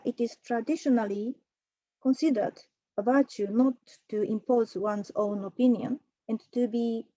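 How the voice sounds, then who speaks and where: A woman presenting a prepared academic talk at an online conference, measured, with a few fillers. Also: fairly high pitch, dark tone, no room sound, dull audio. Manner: measured, reads from a prepared text with occasional fillers. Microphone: computer mic for an online talk.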